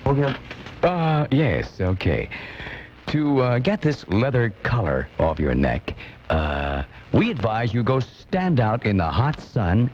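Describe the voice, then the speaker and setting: A man's voice: medium pitch, very animated, slow, slight background noise. A man playing a flustered, hesitant advice show host in radio comedy, recorded off air on dull cassette.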